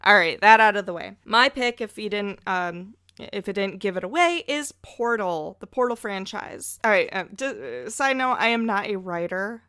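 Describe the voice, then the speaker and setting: A woman chats away in a casual podcast discussion. Her voice sits at a middling pitch, and she states her opinions freely while being a little self-deprecating. The sound is dry, with hardly any room around it. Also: animated, moderate pace, few pauses, sharp tone, clear, resonant tone, no room sound. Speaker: podcast guest.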